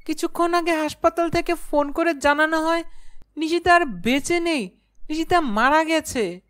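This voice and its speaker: Woman playing a cowardly young man, high pitch, guilty, pleading blackmailer, horror cartoon. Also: moderate pace. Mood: guilty, confessing. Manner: pleading, stammering when frightened. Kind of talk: dramatic horror cartoon acting.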